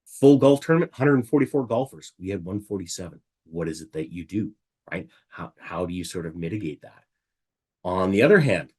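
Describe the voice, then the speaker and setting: A man with a somewhat low voice that has gone raspy from illness talks through a casual webinar presentation from his home. He is middle-aged and chatty, and he keeps checking in with rhetorical questions. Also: a little mellow.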